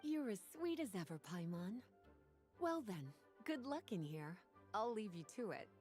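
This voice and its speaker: A genteel librarian from a video game, composed and dryly courteous. The tone is soft-edged and mellow, with languid phrases that rise and fall expressively over faint music. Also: female voice, mid-range voice, sing-song, measured pace. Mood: fond. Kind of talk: video game character acting.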